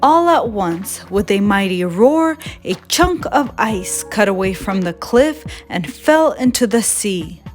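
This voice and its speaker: A woman reading a children's storybook aloud as storyteller in a gentle, expressive manner. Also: medium pitch, slow pace, few pauses, soft background music.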